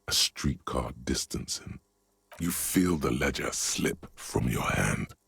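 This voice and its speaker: A man acting as a brooding inner-voice narrator in a game, grave and intimate, with a husky, solemn delivery. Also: very deep voice, sing-song, slow pace, a bit bright, dry recording, full character voice. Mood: melancholy, hushed. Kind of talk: scripted dramatic game narration. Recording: music under the voice.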